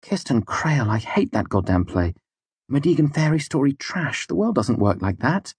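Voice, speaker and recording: Storybook role: worldly, exasperated woman, played by a man, raised husky voice, arch and blunt with a caring core. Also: on the low side, steady tone, normal speed, no echo, voice pushed up. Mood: incredulous, venomous. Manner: matter-of-fact, arch, scolding yet gentle. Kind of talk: audiobook character dialogue.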